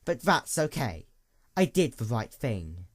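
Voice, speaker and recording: A man's voice: mid-range voice, sing-song, slow pace, dry recording, studio quality. A man voicing an embittered, hollowed-out female pony in a dramatic story, brooding and intense. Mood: subdued, resolute.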